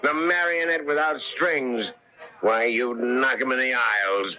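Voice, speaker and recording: An actor playing a brash, scheming puppet-show master with declamatory barker patter in a radio drama. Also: male voice, mid-range voice, measured pace, pushed and bright, low-quality recording. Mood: cajoling. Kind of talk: old-time radio drama acting. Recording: 1970s radio broadcast, music behind.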